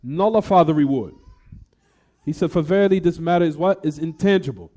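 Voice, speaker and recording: A man's voice: a little high, brisk, warm tone. A man teaching a religious lesson, reading a text aloud and explaining it, often asking the class rhetorical questions. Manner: reads quoted text then explains, asks the class rhetorical "what?" questions; switches between English and recited Arabic. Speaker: Islamic teacher.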